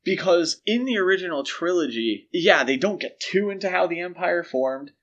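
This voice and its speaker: A man's voice: sits high, lively intonation, normal speed, fairly bright tone, muffled recording. A man co-hosts a casual film discussion podcast. He explains his points step by step in a conversational way, putting emphasis on the key words as he builds an argument. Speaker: podcast host.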